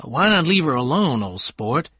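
A courteous gentleman lover from a storybook, voiced by a male narrator. He is quiet yet insistent, with a slightly husky tone and a dry, close sound. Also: a little high, sing-song, normal speed, dry recording, exaggerated voice. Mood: mildly reproving. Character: polite, quietly intense gentleman lover, adult man.

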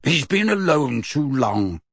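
A man voicing a sardonic, bitter adult man who speaks coldly and curtly, with a slightly husky tone. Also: mid-range voice, sing-song, slow, fairly bright tone, no room sound, studio quality, pitched above the performer's own voice, exaggerated voice. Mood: cold irritation. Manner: cold, curt and irritable. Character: sardonic, cold adult man, estranged from his family. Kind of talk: audiobook character voice.

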